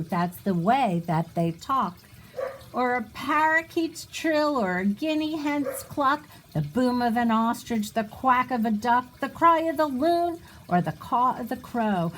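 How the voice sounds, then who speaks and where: A woman with a high voice reads a rhyming children's book aloud. Her pitch swings widely in a sing-song delivery, and she takes her time, adding small asides for her young listeners. A light ambient noise sits behind her. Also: low-quality recording. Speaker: children's storybook reader.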